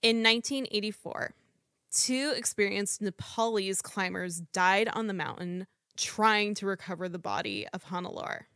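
A woman with a middle-pitched voice reads her true crime podcast script, stopping now and then to apologize for stumbling over foreign names. The sound is dry and close, with no room around it. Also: normal speed, sharp tone, clean tone, no echo. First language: English. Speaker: podcast host.

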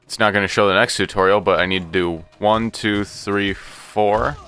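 A man's voice: fairly low pitch, steady tone, normal speed, fairly bright tone. A man giving casual, flippant gameplay commentary, with playful little sound effects. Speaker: gaming video host.

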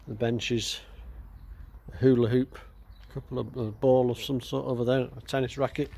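A man giving casual walkaround commentary as a vlogger, halting and thinking aloud as he goes. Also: medium pitch, flat delivery, vocal fry, a little mellow, slightly rough. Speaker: vlogger exploring an abandoned building. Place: outdoors, walking around a building. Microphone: handheld camera mic. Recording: outdoor ambient noise.

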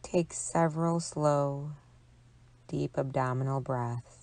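A woman psychologist leading a guided relaxation meditation in a calm, soothing, measured delivery with long pauses. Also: low voice.

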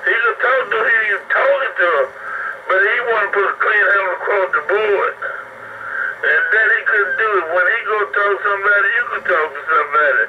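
A man's voice: high-pitched, brisk, far from the mic. A man chatting casually and at length over CB radio, rambling and repeating himself, heard through the radio's speaker. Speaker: CB radio operator. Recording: muffled, very noisy CB radio reception. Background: loud radio noise.

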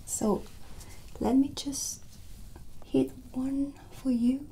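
A woman speaks in a breathy ASMR whisper, close to the microphone. Her delivery is gentle and very unhurried, with long pauses between short phrases. A soft ambient noise sits behind her voice. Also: sits high, fairly even intonation, rough recording. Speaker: ASMR host playing a witch shopkeeper. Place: small dark candlelit indoor set.

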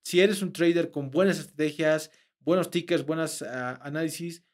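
A man's voice: fairly high pitch, steady tone, normal speed. A young man, explaining trading to camera in a conversational way with frequent fillers and restarts, recorded in a small room. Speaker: trading instructor.

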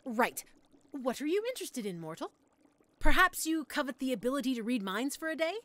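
Woman as an animated, flustered young witch. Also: high voice, brisk, no room sound. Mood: eager, excited. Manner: theatrical, rambling, expressive. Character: chatty, flustered young witch-in-training running a magic shop. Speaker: audio roleplay performer. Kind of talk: scripted audio roleplay, addressed to the listener.

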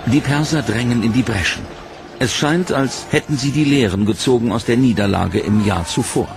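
A man reading a serious, measured documentary narration. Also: mid-range voice, gravelly. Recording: narration over loud music.

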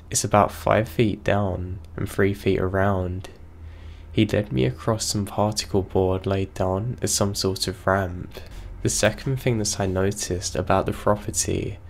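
A man's voice: low voice, creaky, a little hiss or hum. A man reading a first-person horror story aloud, sounding unwell, with his voice a bit off. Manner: slow, steady storytelling delivery. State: unwell, voice affected.

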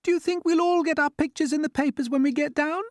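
A man voicing a small, fluttery, excitable female ladybird in a children's story. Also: very high-pitched, fast talker, no room sound, studio quality, pitched above the performer's own voice. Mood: excited.

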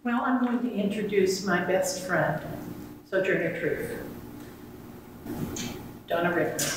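An older woman speaks slowly and deliberately, introducing her friend before a reading. Her voice rings through a bare, very echoey hall, picked up from a camera well across the room with faint background hiss. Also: medium pitch, far from the mic. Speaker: readers theater performer. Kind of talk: formal spoken introduction. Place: large museum hall with hard walls, indoors. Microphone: far camera.

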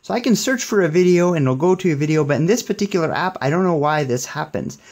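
A man's voice: on the high side, lively intonation, fast talker, a little mellow. A young man giving a casual hands-on tech demo in an upbeat, approving manner. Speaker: tech reviewer.

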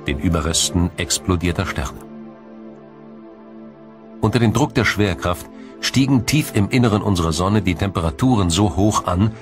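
A very low male voice narrates a science documentary from a script. The delivery is measured and dramatic, with faint music underneath.

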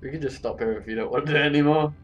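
A man's voice: a little high, racing, recorded from a distance, lo-fi sound, faint music behind. A young man chatting casually and teasing as he watches someone play a video game. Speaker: co-commentator watching the player. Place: indoor room at a computer, on webcam.

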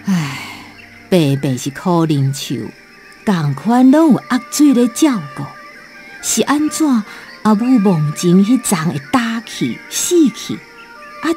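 An actress playing a caring, teasing elderly country wife in a radio drama, homely and expressive. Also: female voice, medium pitch. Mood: wondering. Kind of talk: radio drama acting in Taiwanese Hokkien.

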